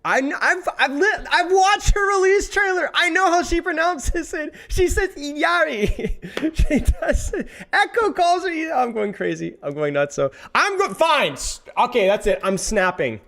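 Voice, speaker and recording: Animated high-voiced male streamer. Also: a little slow, vocal fry, hardly any pauses. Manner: exasperated, self-mocking, rambling. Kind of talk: casual live-stream commentary.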